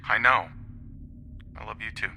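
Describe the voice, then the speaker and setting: An actor playing an anguished, protective husband pleading emotionally in an audio drama. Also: male voice, lowish voice, unhurried, vocal fry, pushed and bright, slight background noise, full character voice.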